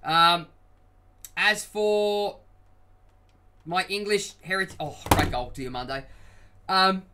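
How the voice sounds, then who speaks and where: A young man with a headset, streaming a football video game and chatting loosely with friends, swearing and shouting at the play. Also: high-pitched, slow pace, background noise. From Australia. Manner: excitable, swears, loud outbursts at the game. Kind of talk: live stream commentary and chat.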